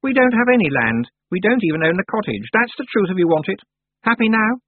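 Anagramma, a shrill, haughty young witch voiced by a man. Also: big pitch swings, a little fast, slightly rough, dry recording, heavily acted. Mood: flustered. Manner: high-pitched, indignant, defensive. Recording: dry, tinny phone-like sound.

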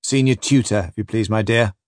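A man's voice: on the low side, brisk, dry recording, studio quality, close to an everyday voice. A man voicing a pompous, scholarly, bad-tempered ship's captain in an audiobook story, pedantic and declamatory. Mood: condescending, pedantic. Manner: pedantic, declamatory, quoting Latin.

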